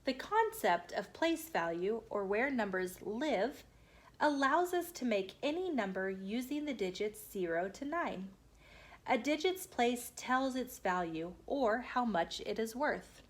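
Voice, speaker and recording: A woman hosting storytime, reading a children's book aloud in a measured, explanatory way. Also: on the high side, animated. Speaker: storytime host.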